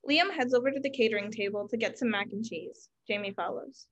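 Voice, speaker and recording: A woman's voice: medium pitch, a little fast, lo-fi sound. A woman reading stage directions as scripted narration on a video call from home.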